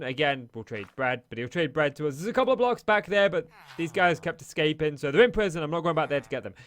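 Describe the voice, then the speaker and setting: A man with a high-pitched voice talks through his game in easy running commentary, calling out each step as he goes. His voice is slightly hoarse, as if he is losing it. The sound is dry, with no room echo. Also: fairly bright tone. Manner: chatty, narrates each action as he plays. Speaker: Minecraft let's play host. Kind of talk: casual gameplay commentary.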